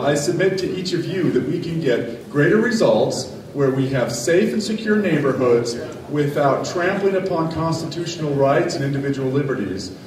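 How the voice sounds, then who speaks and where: A man's voice: a little high, a little mellow. A man giving a confident, polished campaign speech to delegates as a candidate for county attorney. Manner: confident, polished, self-promoting. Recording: echoey room from far off, faint noise.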